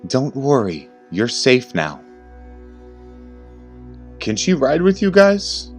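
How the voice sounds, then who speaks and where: Tough female detective, played by a male narrator, high for him, firm yet reassuring, horror story. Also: huge pitch swings, slow, faint music behind, full character voice. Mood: calm, reassuring.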